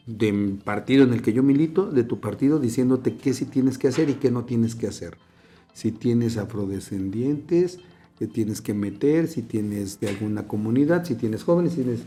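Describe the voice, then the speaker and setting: A man's voice: mid-range voice, dark tone. A Mexican man hosting a political podcast, talking in long rambling turns full of fillers. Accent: Mexican Spanish. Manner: rambling, many fillers and restarts. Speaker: podcast host. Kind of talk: political conversation.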